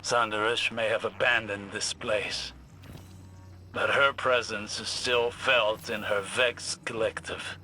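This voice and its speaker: A man's voice: fairly low pitch, steady tone, slow pace, sharp tone, exaggerated voice, low-quality recording. A man playing a grave, learned figure giving a formal, solemn scripted message in a video game. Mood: solemn, reflective.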